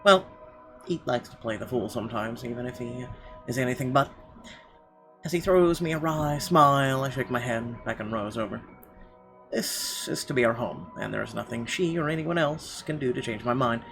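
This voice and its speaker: Man reading game narration, medium pitch. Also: normal speed, creaky, a bit bright. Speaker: gaming video host. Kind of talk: reading visual novel narration aloud. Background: game music.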